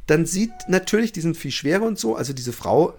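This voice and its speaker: A man's voice: fairly high pitch, very animated, a little fast, a little mellow. A man hosting a podcast in casual banter, often searching for words as he thinks aloud. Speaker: podcast host.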